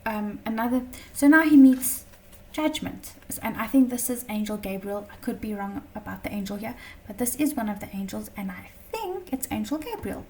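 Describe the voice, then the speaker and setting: A woman teaching a tarot lesson in a chatty, casual way, her voice slightly croaky from a long day of talking, often checking in with "okay?". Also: medium pitch, animated, normal speed, few pauses, darkish tone, distant mic, poor audio. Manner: chatty, many fillers and "okay?" check-ins.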